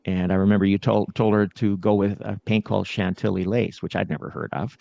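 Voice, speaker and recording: Casting: middle-aged man, podcast host, gravelly and low, dry close sound, a bit lo-fi. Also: flat delivery, a little fast, dark tone, no echo. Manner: chatty, interrupts, self-deprecating. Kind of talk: casual interview chat. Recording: dull, slightly lo-fi sound.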